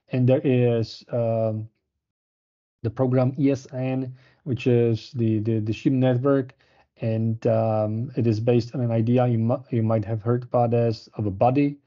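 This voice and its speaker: A man's voice: mid-range voice, dark tone. A man presenting a university programme in a webinar, speaking hesitantly with many fillers and restarts. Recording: muffled lo-fi webinar audio.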